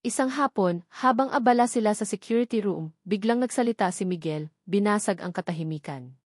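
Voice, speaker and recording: A woman's voice: medium pitch, a little fast, darkish tone, no room sound, studio quality. A woman reading a scripted romantic drama story as its narrator.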